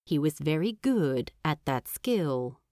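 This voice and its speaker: A woman narrating a story from a script in an expressive storytelling style. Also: low-pitched, slow pace, no echo, clean studio sound.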